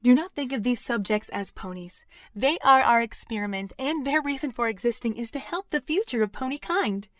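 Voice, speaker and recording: Woman as detached overseer lady, audio drama, formal n measured, highish voice, dry close sound. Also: fairly bright tone, dry recording, lightly acted. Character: formal, detached female facility overseer, coldly logical.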